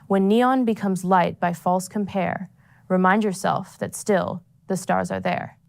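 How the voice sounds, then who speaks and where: A woman's voice: mid-range voice, fairly even intonation, measured pace, vocal fry. A woman formally reciting a poem as a student poet.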